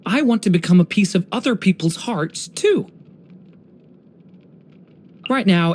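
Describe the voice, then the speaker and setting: An actor voicing an earnest, curious young boy dragon in human form for a video game, speaking politely and softly with some hesitance. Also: mid-range voice. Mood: thoughtful, hopeful. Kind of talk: scripted game character voice lines.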